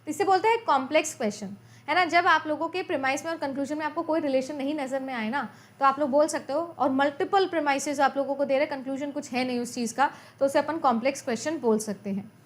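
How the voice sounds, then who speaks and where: A woman's voice: sits high, fast talker. A woman teaching an exam-prep lecture in a chatty way, often repeating her points and asking her students questions as she goes. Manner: mixes Hindi and English terms.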